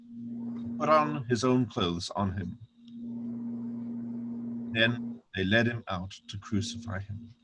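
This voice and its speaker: A man narrating a dramatic scripture reading with solemn, measured, deliberate phrasing. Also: fairly low pitch, animated, background noise.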